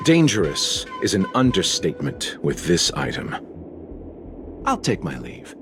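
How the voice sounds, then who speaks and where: A man's voice: deep voice, huge pitch swings, a little slow, over music, full character voice. A man playing a composed, courteous traveling merchant in a video game, polite and coolly unruffled.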